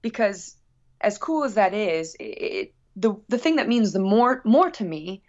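A woman's voice: mid-range voice, sing-song, measured pace, a little mellow. A woman speaking earnestly and reflectively as a musician being interviewed, in casual conversation. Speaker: guest musician. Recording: dull, lo-fi sound.

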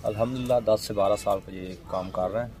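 A man in his thirties with a lowish voice gives short, unhurried answers about his garden. He is recorded outdoors on a handheld camera mic, with bird calls and open-air noise clearly heard behind him. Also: fairly even intonation, warm tone. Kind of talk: answering interview questions. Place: outdoor walled kitchen garden. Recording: outdoor ambience with birds.

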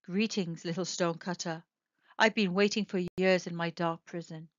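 A woman voicing an ancient male stone knight, speaking slowly, low and grave, on a dull, dry recording. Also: steady tone, no room sound, lightly acted. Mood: solemn.

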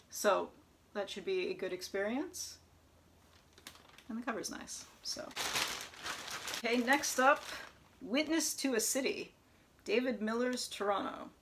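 A young woman chatting casually about new books at home, sometimes reading back-cover blurbs aloud in an even tone, recorded by a camera close in front of her. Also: on the high side, slight background noise. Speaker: book vlogger. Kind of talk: casual book haul chat with read-aloud blurbs. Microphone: camera in front at close range.

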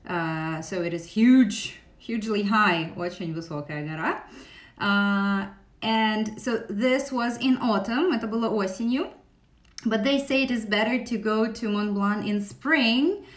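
A young woman with a Russian accent, teaching an English lesson on a live stream, speaking into a phone's front camera in a small room and switching between English and Russian with many fillers. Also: medium pitch. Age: young adult. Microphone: phone held close, front camera.

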